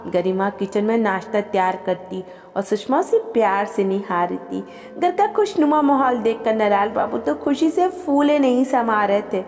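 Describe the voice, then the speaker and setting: A woman reading a scripted, emotional family story as an expressive storyteller. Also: medium pitch, moderate pace. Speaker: storyteller and channel host. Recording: long reverb with music behind. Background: background music.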